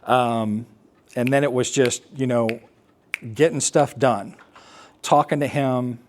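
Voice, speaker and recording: An American man giving a personal talk, recounting his experience as an air traffic controller in a halting, explanatory way with pauses. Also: mid-range voice, steady tone. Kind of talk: personal storytelling talk to an assembly.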